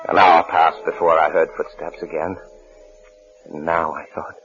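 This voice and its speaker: An American man, an actor playing a paranoid husband in hiding, in halting, haunted 1950s radio suspense style. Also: extremely low pitch, flat delivery. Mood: fearful brooding. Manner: haunted, halting, repeating phrases. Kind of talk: 1950s radio suspense acting, first-person narration and dialogue. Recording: muffled old radio broadcast with music.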